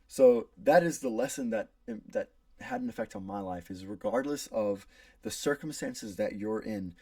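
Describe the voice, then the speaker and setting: Earnest young man with gravelly voice. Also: medium pitch, a little mellow, no room sound, far from the mic. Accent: American. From South Africa. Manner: earnest storytelling with frequent fillers and restarts. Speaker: student. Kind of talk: prepared class speech.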